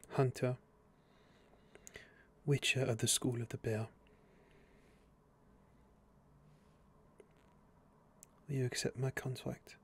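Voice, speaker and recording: A man's voice: mid-range voice, husky. A man voicing a woman with a French accent who whispers a plea to a monster slayer. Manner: whispering close to the ear. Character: woman hiring a monster slayer, hushed and intense.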